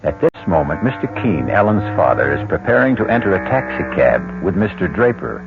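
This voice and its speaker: A man hosting a radio mystery drama as a wry, philosophical narrator, musing and deliberate in his delivery. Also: low voice, big pitch swings. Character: wry, philosophical male ghost-story host. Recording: muffled old radio broadcast over music.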